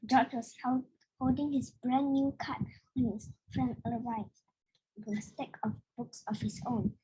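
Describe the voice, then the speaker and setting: A woman reading a children's picture book aloud in an expressive way, as if to young listeners. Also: on the high side, measured pace, husky, low-quality recording. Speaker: read-aloud storyteller.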